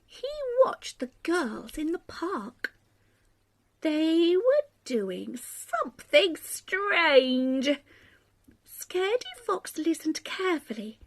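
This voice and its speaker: Female children's storyteller: high voice, very unhurried, expressive, dramatic pauses. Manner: animated, dramatic pauses and emphasis. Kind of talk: expressive bedtime story reading.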